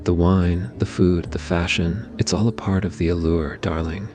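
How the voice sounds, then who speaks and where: A man voicing a suave, teasing, affectionate mafia boss in a low murmur for a romantic ASMR roleplay. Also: fairly even intonation, moderate pace, a little mellow, faint music behind, close to an everyday voice. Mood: proud, affectionate.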